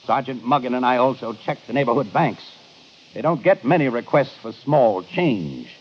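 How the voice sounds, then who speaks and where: An actor playing a shrewd, authoritative police inspector in an old radio crime drama, speaking deliberately and accusingly. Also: male voice, mid-range voice, sing-song, husky. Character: shrewd, commanding male police inspector exposing a killer. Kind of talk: 1950s radio drama acting. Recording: muffled, hissy old radio broadcast.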